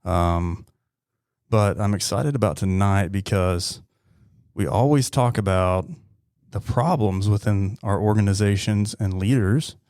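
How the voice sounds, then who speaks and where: A man hosting a podcast in a casual, halting way with frequent pauses. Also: fairly low pitch, darkish tone, smooth, dry recording, clean studio sound. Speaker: podcast host.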